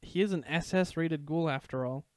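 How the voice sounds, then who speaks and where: A man's voice: fairly high pitch, normal speed, a little mellow, no room sound, studio quality, voice pushed up, lightly acted. A man voicing a wary, deferential male prison warden in a fan fiction story reading. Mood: worried caution.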